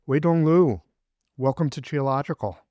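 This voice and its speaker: A man's voice: on the high side, moderate pace, lo-fi sound. Curious man hosting a podcast. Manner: curious, meandering questions. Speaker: podcast host. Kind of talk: casual interview.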